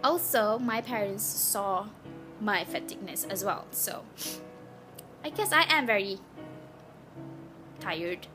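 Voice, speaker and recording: A young woman of nineteen with a Malaysian English accent, her first language Malay, chats casually to the camera. She speaks in a mid-range voice, pausing and restarting often, with clear music playing behind her. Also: mid-range voice, animated. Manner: hesitant, chatty, apologetic, with many restarts. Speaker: vlogger sharing her cancer story. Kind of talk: casual personal vlog. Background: background music.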